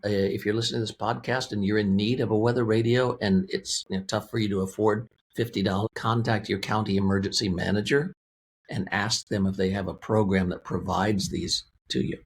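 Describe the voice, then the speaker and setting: A man's voice: lowish voice, steady tone, brisk, a little hiss or hum. A man speaking as an interview guest, explaining a product in a plain-spoken, methodical, conversational way. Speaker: interview guest, weather radio company representative.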